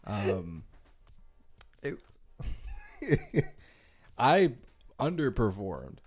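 A young man with a low voice co-hosting a casual podcast chat in an easygoing way. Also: big pitch swings, very slow, a little mellow, husky. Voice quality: pretty low voice. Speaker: podcast co-host.

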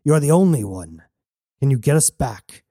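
A man's voice: medium pitch, big pitch swings, moderate pace, dark tone, no echo, clean studio sound. A man voicing an aging male mentor, firm, grave and insistent, in an audiobook. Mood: firm, urgent.

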